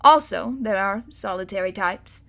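A woman voicing a strict, stern older female tutor who gives commands in a clipped, forceful way. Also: mid-range voice, expressive, normal speed, vocal fry.

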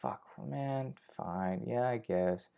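A man's voice: medium pitch, slow pace, creaky, mellow, clear, resonant tone. A young man hosting a casual solo podcast, rambling with frequent swearing and restarts. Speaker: podcast host. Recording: slightly dull lo-fi audio.

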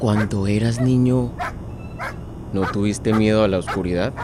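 An actor playing a rigid, callous police guard in a radio drama, curt and unyielding. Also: male voice, on the low side, a little slow, background noise, lightly acted. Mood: stern. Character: rigid, callous male police guard who follows orders.

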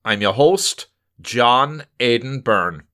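A man hosting a podcast, reading a scripted introduction in a measured way. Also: medium pitch, lively intonation, bright, forceful tone. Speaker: podcast host.

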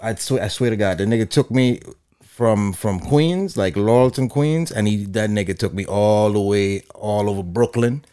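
This is a low-voiced man from Guyana, a podcast guest telling stories in a loose, casual way. He is animated and swears freely. He often laughs as he talks. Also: normal speed, clear, resonant tone. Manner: animated and profane, laughs through his stories. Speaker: podcast guest, cannabis entrepreneur. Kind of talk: casual storytelling.

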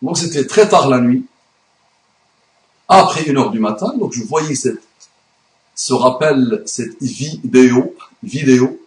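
A man delivers an indignant religious commentary at a middling pitch, leaning hard on his points. His voice carries a noticeable room echo. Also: lively intonation, slow pace, long pauses. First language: Arabic. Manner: emphatic, indignant. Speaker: YouTube commentator. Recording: roomy echo.

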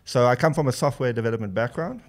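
A man from Namibia speaks as a guest in a relaxed interview. He has a Southern African accent and a medium-pitched voice. He explains things casually, often pausing to rephrase. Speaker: podcast guest, business co-founder.

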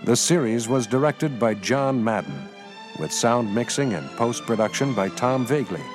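A man giving solemn, measured scripted narration as the announcer of a radio drama. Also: medium pitch, lively intonation, over music.

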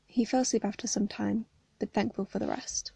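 A young woman, hoarse from hay fever, reading a story aloud and chatting casually between chapters. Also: mid-range voice, fairly even intonation, fairly quick, mellow, dry recording. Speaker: fan fiction reader.

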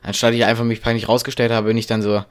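Teen boy co-host, lower voice, loose joking delivery. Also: level tone, very fast. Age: teens. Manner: joking, rambling storyteller. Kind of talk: casual chat.

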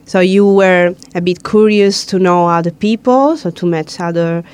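A woman's voice: fairly low pitch, moderate pace, a little mellow, clean tone. A woman from Italy, hosting a casual interview in a chatty, playful way. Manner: chatty, playful, laughs and teases guests. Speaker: host. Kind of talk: casual radio-style interview.

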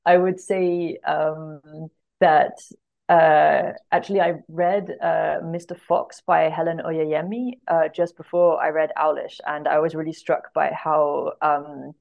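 Low, creaky-voiced woman in thoughtful conversation. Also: little pitch movement, slow pace, phone-quality sound. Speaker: podcast guest, literary translator. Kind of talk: casual interview chat about books.

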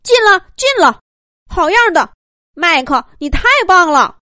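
A woman voicing excited young animal teammates in a children's story, with urgent, cheering calls. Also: very high voice, unhurried, dry recording, clean studio sound, voice pushed up, exaggerated voice.

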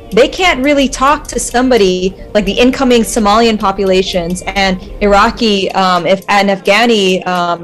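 A woman who works as a dentist, speaking earnestly and reflectively in a casual group discussion, with laughs between points. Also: medium pitch.

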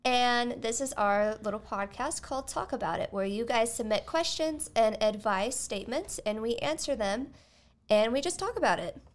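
A woman's voice: a little high, lively intonation, moderate pace. A woman co-hosting a podcast, chatting casually as she answers listener questions. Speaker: podcast co-host. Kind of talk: casual advice podcast chat.

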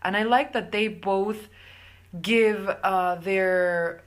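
Young Mexican woman, Spanish native, mid-pitched voice, halting and friendly speech, casual co-host. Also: steady tone. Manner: hesitant, searching for words, laughs easily. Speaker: podcast co-host. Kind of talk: casual chat.

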